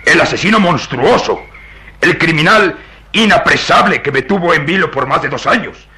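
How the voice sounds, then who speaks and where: An actor playing an indignant, skeptical police inspector in a radio drama, deliberate and theatrical. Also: male voice, a little high, lively intonation, bright, forceful tone. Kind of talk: Latin American radio drama acting.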